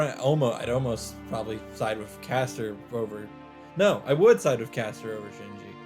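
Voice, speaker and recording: Male let's player for visual novel narration, average pitch, earnest expressive read with casual asides, clear music bed behind. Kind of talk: dramatic read-aloud with casual commentary. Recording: background music under the voice. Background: game music.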